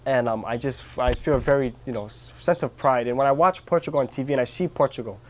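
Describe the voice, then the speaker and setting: A man's voice: medium pitch, fast talker, darkish tone, slight background noise. An earnest young man from Hawaii talks sincerely in an interview, his thoughts broken by fillers and restarts. He is recorded outdoors on a garden patio, with a faint ambience behind his voice. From Kauai, Hawaii. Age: young adult. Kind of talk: reflective personal interview.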